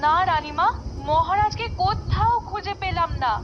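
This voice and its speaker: Very high-voiced cartoon maidservant. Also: female voice, normal speed, highs cut off, noisy background. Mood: worried. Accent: Bengali. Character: queen's dutiful, practical maidservant woman. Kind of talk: Bengali cartoon voice acting.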